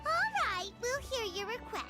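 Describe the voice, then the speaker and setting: A tiny, childlike fairy sidekick from a video game, squeaky and very high. She is outspoken and exclamatory, swinging up and down in pitch over loud music. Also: sing-song, slow pace, heavily acted. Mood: wary. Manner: cutesy, exclamatory. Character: small childlike female fairy companion, chirpy and outspoken.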